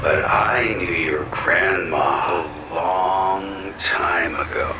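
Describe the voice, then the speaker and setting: A man's voice: sits low, drawn-out words, background noise. A man playing a sinister, folksy supernatural presence in an audio drama, drawling with menacing friendliness. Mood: calm, sinister. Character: sinister folksy male entity speaking through a rock. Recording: heavy sound bed, roomy echo.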